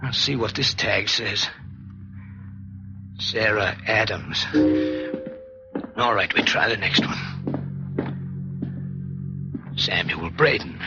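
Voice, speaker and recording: An actor playing a scheming, impatient husband in a 1940s radio mystery, speaking in an insistent, bossy way. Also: male voice, on the low side, expressive, takes it slowly, pauses often, over music. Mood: uneasy, urgent. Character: scheming, impatient husband faking his death for insurance money. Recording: muffled vintage 1940s radio recording.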